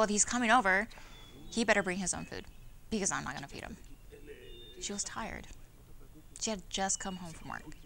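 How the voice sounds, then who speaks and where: A young actress playing a wry farmworker's daughter in a conversational, matter-of-fact monologue. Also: female voice, on the low side, gravelly, pushed and bright. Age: young adult. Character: farmworker's daughter recalling family home, wry. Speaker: actor performing a monologue. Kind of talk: dramatized oral history monologue.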